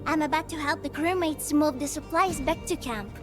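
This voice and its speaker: A woman playing a bright, helpful young female camp guide in a video game. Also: high voice, moderate pace, lightly acted. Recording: music behind.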